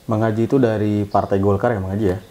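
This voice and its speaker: Male host, low and warm, friendly casual questioning. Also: flat delivery, quick pace. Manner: friendly, lots of short backchannel replies. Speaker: interview host. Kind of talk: casual interview.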